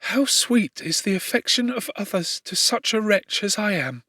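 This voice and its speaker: A man voicing a gentle, condemned young woman in an audiobook, speaking tenderly and formally with sorrowful resignation. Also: sits high, animated, moderate pace, no echo, pitched above the performer's own voice, heavily acted. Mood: grateful, resigned. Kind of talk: audiobook character dialogue.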